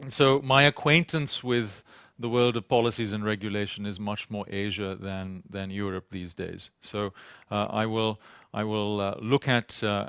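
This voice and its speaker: A man with a British accent giving a conference panel talk, hesitant and halting, often repeating words and pausing on "uh". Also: on the low side, creaky, a bit bright, smooth, dry recording, clean studio sound. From Sri Lanka. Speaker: panel speaker. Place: conference venue.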